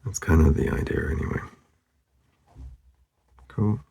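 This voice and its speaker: A man narrating casually as he draws, laid-back and murmuring, with a husky, gravelly voice that often trails off. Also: extremely low pitch, unhurried, dark tone. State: losing his voice, hoarse. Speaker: artist hosting a drawing channel. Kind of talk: casual narration while drawing.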